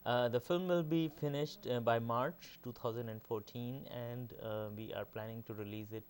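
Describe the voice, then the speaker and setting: A middle-aged man from Pakistan, answering interview questions as a film director in a measured way with many pauses. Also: mid-range voice, fairly even intonation, clean tone.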